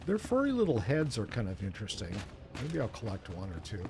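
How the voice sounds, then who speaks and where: A man's voice: fairly low pitch, wildly swooping pitch, a little fast. A man chatting casually while playing an online game, playfully muttering to himself as he goes. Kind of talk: casual gameplay chat. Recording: clear background noise with game sounds.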